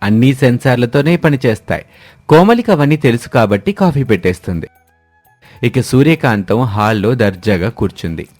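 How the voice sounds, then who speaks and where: A man's voice: mid-range voice, lively intonation, moderate pace, darkish tone, no room sound, soft background music. A man narrating a scripted moral story as a storyteller.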